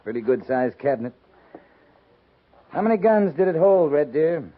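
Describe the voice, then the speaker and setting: Firm American cavalry captain, muffled radio. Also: male voice, on the high side, sing-song, a little hiss or hum. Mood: probing, polite. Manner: measured, plain-spoken, authoritative. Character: firm, fair-minded US cavalry captain on the frontier. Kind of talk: 1950s radio western acting. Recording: muffled, band-limited 1950s radio recording.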